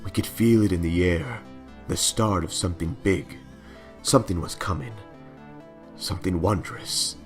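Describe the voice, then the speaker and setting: Man as a low, solemn adventurer narrator. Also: normal speed, long pauses, faint music behind, lightly acted. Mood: solemn, wistful. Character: solemn, wistful adult male adventurer narrating his final journal.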